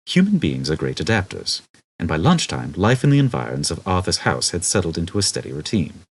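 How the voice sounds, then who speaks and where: A man narrating a comic novel as an expressive audiobook reader. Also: deep voice, fairly quick, breathy edge.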